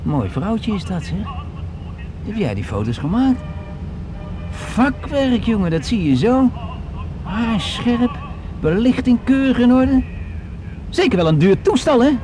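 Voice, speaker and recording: A cocky, lively young thug. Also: male voice, very high voice, unhurried, pauses often, over music, exaggerated voice. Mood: taunting. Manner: taunting, chatty, streetwise. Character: cocky, menacing young male hitchhiker robber. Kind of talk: radio drama acting. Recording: noisy radio play, vehicle noise behind.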